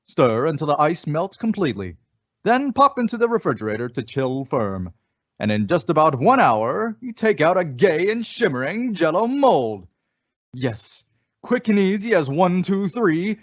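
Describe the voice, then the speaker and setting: Animated vintage male radio announcer. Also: fairly high pitch, dry recording. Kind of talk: 1950s scripted radio announcing and advertising. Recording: dull vintage radio recording.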